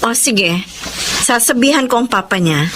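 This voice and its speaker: A woman's voice: on the high side, animated, normal speed, fairly bright tone, a little hiss or hum. A woman playing an apologetic, chatty Filipino mother with a coaxing manner in a radio drama.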